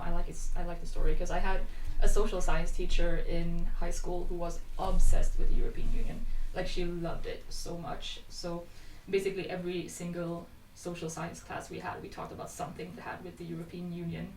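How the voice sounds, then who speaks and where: A woman's voice: lowish voice, flat delivery, brisk, few pauses, a little mellow. A twenty-year-old woman from Sweden, chatting casually as a podcast guest and telling stories. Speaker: podcast guest, youth volunteer. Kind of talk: casual conversational storytelling. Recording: echoey room, distant mic, faint noise.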